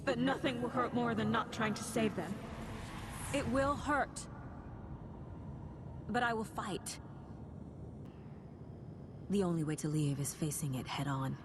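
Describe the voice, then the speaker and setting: A woman's voice: medium pitch, a little slow, pauses often, background noise, close to an everyday voice. An actress playing a determined woman agent in a video game, speaking in cutscenes and inner monologue. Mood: resolute. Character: determined woman agent searching a nightmare world.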